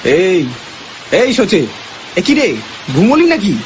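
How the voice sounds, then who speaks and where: A man acting as an excitable, inquisitive friend in a dramatized ghost story, with exclamatory, incredulous questioning. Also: high voice, takes it slowly, exaggerated voice. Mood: impatient. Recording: clearly noisy background ambience.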